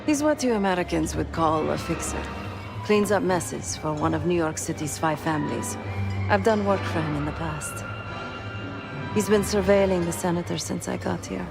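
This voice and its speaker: Sly undercover spy woman, low creaky voice, cool n businesslike, loud music behind. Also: normal speed. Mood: cool, conspiratorial. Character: composed, sly undercover woman operative at a gala. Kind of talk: video game cutscene acting.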